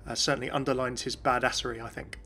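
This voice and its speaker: A man's voice: medium pitch, little pitch movement, fast talker, gravelly, bright, forceful tone, slightly rough. A man hosting a game lore video, talking through voice lines in a chatty, enthusiastic voice-over. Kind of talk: scripted commentary voice-over.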